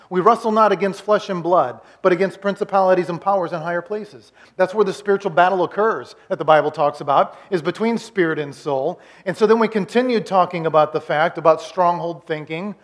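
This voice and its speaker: A pastor in his forties with a notably high voice delivers a relaxed, conversational sermon. He often stumbles and repeats words as he recaps earlier teaching. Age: 40s.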